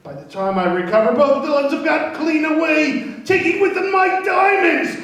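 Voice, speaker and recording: A man playing an agitated, indignant diamond merchant in a radio play, excitable and formal in his foreign-sounding phrasing. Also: extremely high pitch, moderate pace. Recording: very echoey, distant from microphone.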